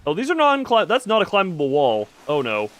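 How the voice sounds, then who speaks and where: A man's voice: sits high, huge pitch swings, fairly quick, a bit bright, a little hiss or hum. A man livestreaming a video game with casual commentary and replies to chat, rambling and stammering in a self-deprecating way.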